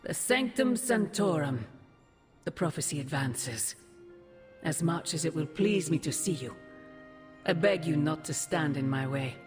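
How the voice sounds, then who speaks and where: A woman's voice: deep voice, expressive, unhurried. Regal demon mother in long reverb. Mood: ominous, stately. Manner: stately, formal, ominous. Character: ancient demon mother, regal, ominous, eerily affectionate. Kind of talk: dramatic video game acting. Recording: long cavernous reverb, music behind.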